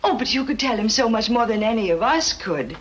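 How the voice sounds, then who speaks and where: A woman acting a small-town American housewife from a 1940s film. She is eager and gushing, with a fairly high voice that swings widely in pitch. She chatters on in a fussy, old-fashioned screen manner. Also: expressive, a little fast, muffled recording, slight background noise, lightly acted.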